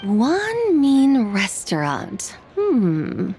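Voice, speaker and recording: A woman's voice: fairly high pitch, wildly swooping pitch, extremely slow, warm tone, a little hiss or hum, full character voice. A woman playing an ancient, lofty, teasing immortal spirit in a video game, formal, amused and imperious. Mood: musing, refined. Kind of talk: video game character acting.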